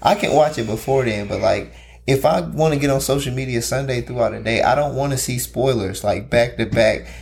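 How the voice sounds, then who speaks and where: A man co-hosting a casual chat, talking in an animated, ranting, slang-heavy way. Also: medium pitch, a little fast, creaky, a little hiss or hum. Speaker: podcast co-host.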